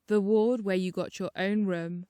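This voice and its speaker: A woman's voice: mid-range voice, fairly even intonation, measured pace, mellow, no echo. Woman narrating a story, dry close sound. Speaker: audiobook narrator. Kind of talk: scripted first-person story narration.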